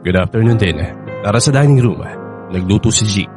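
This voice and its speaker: A man's voice: fairly low pitch, moderate pace, music in the background, lightly acted. An actor playing an affectionate, reassuring middle-aged husband and father with a Filipino accent in a radio drama. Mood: cheerful, welcoming. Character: doting wealthy 55-year-old widowed husband and father.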